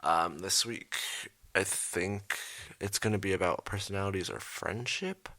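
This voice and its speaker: Young man, low voice, gravelly, quiet late-night ramble, dry close sound. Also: measured pace, no room sound. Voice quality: kept quiet and a bit raspy late at night. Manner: rambling, many ums, trailing off. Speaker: art channel host. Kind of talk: casual voiceover over a speed drawing. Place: his bedroom at home, at night.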